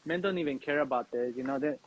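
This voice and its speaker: A man talking as a podcast guest in a reflective, earnest, halting way, often checking in with "you know" and "right?". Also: mid-range voice, rough recording. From Mexican background. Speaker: podcast guest, fatherhood coach. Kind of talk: reflective personal conversation.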